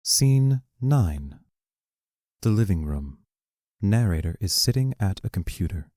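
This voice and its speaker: A man reading scripted scene directions for an audio play in a measured, descriptive manner. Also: on the low side, sing-song, mellow, no echo, studio quality. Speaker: stage directions reader.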